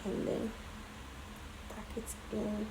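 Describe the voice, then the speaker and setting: A woman with a hoarse voice from days of coughing, walking viewers through a headwrap tutorial in a casual, chatty way and often checking in with "okay?". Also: mid-range voice, completely flat delivery, slow, noisy background. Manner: chatty, keeps adding "okay?". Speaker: tutorial host.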